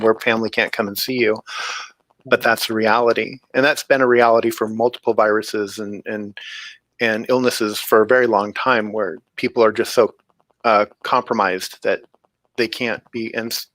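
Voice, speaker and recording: A man's voice: fairly low pitch, a bit bright, no room sound, rough recording. A man speaking as a guest author in a casual interview, earnest and repeating himself for emphasis.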